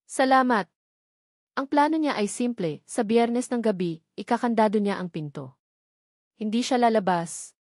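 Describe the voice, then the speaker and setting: A woman narrates a romance story in a measured, dramatic way, her pitch sitting in the middle of the range. The recording is dry and close, with no room sound. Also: darkish tone, dry recording.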